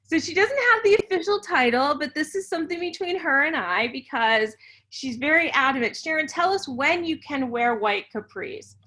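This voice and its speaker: A woman's voice: high voice, lively intonation, normal speed, bright, forceful tone, poor audio. A woman hosting a podcast interview, teasing her guest in a playful, casual way. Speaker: podcast host.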